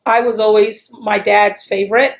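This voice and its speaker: A woman's voice: mid-range voice, flat delivery, normal speed. Chatty Texan woman recalling her childhood. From El Paso, Texas, US. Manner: chatty, rambling, with restarts and laughs. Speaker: interviewee. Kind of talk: oral history interview.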